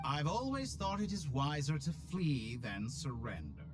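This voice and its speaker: A man's voice: on the high side, sing-song, measured pace. A man voicing a haughty, scornful video game villain with a theatrical, contemptuous manner. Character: haughty, scornful male villain guarding his research. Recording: distant, under loud game music.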